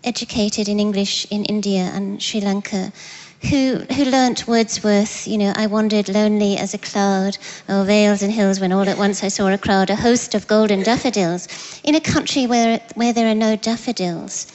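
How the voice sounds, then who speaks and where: A woman's voice: mid-range voice, steady tone, moderate pace, hardly any pauses, darkish tone. A woman interviewer lays out a long, careful question before a festival audience. Her mid-range voice rings with long hall reverb. The recording is muffled and lo-fi. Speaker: interviewer, fellow writer. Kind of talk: festival stage conversation. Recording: hall reverb, dull lo-fi sound.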